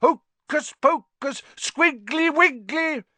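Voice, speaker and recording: A defiant schoolboy hero from a storybook, played by a male reader. He talks with cool, dry sarcasm and a lively, teasing swing in pitch, recorded close and dry. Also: a little slow, no echo. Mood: fierce, mock-menacing.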